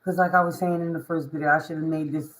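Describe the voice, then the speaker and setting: A woman with a hoarse, raspy voice, talking to camera as a spiritual reader and life coach in a casual motivational monologue, emphatic and repetitive, often asking "you know what I mean". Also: low-pitched, flat delivery, quick pace, rough recording.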